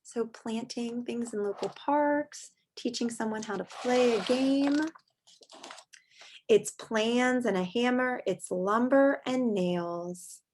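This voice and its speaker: Female teacher, slightly high voice, expressive read-aloud for kids with plain-worded asides, noisy amateur recording. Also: takes it slowly. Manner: expressive, speaking simply to young children. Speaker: teacher reading aloud to children. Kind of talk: picture-book read-aloud with chatty asides.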